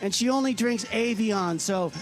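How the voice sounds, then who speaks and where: A man's voice: sits high, moderate pace, over music, lightly acted. An actor playing a boisterous, over-eager man who bossily cajoles others into his big plans in radio comedy. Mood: pushy, cajoling. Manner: bossy, cajoling, cocksure.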